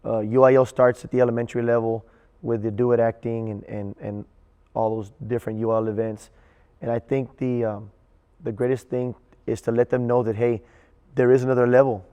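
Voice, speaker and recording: A man speaking as a theater director in an interview, earnest and halting, often repeating words as he thinks. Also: medium pitch, flat delivery, mellow, smooth, long reverb tail, lo-fi sound. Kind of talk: interview reflection.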